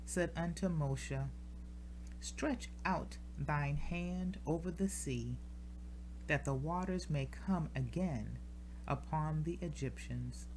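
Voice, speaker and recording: A woman reads scripture aloud in a solemn, measured style, pausing deliberately between short phrases. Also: low voice, a little mellow, a little hiss or hum, low-quality recording. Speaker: scripture reader. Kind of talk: solemn scripture reading from the King James Bible.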